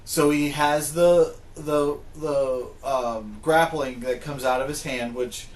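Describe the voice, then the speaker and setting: A man's voice: on the high side, fairly even intonation, takes it slowly. A man hosting a casual discussion in an informal, opinionated way. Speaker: podcast host. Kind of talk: casual discussion of video game movies. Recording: roomy, echoing room sound.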